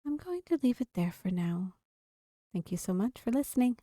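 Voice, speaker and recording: A woman's voice: fairly high pitch, big pitch swings, moderate pace, warm tone, dry recording, studio quality. A woman hosting a gaming video, reading a visual novel aloud and now and then stumbling over a word.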